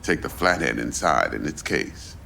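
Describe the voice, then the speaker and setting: Shady fixer, very low voice, creaky gravel, calm and bossy, streetwise slang, video game drama. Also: male voice, a little hiss or hum, full character voice. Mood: smug, instructing. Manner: slow, pronouncing, streetwise slang and aphorisms. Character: big shady older fixer, calm, bossy crime middleman.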